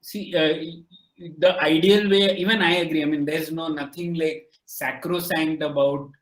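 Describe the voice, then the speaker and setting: A man's voice: on the high side, steady tone, moderate pace, fairly bright tone, echoey room, low-quality recording. A man whose first language is Marathi, a podcast guest talking casually, thoughtful, with many fillers and restarts. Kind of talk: casual conversation.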